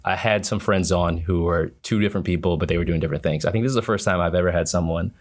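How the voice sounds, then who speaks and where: A man's voice: low-pitched, fast. A man in his thirties hosting a podcast interview, laid-back and slangy, laughing often. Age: 30s. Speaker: podcast host. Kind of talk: casual interview chat.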